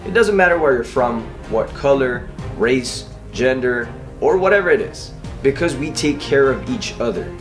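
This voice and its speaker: A man's voice: mid-range voice, animated, normal speed, hardly any pauses. A man who serves in the Army, giving an earnest, encouraging scripted voice-over and speaking straight to the viewer. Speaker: Army soldier narrating. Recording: voice-over over loud background music. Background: music.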